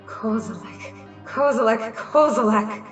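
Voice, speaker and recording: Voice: mid-range voice, takes it slowly, fairly bright tone, muffled recording. A performer voicing an eerie chanting presence in a dramatic audio story, with an echo effect. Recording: echoing, distant voice over music.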